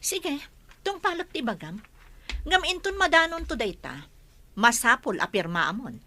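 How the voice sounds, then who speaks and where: Radio drama villainess: greedy, bossy Ilocano aunt, forceful, highly animated delivery, noisy track. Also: female voice, moderate pace, full character voice. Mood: bossy, irritated. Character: scheming, greedy, domineering aunt.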